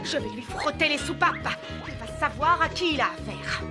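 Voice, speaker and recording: Eccentric high-voiced cartoon schoolteacher. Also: female voice, very animated, normal speed, over music. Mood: exasperated, dramatic. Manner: theatrical, exclamatory. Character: eccentric, determined female schoolteacher who scolds her bus. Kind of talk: French cartoon dub acting.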